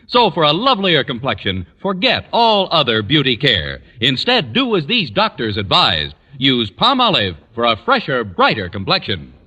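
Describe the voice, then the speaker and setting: Animated, emphatic 1940s radio announcer man. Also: mid-range voice, normal speed, hardly any pauses, husky, lo-fi sound. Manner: emphatic, punchy sales delivery. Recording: reverberant old 1940s radio broadcast.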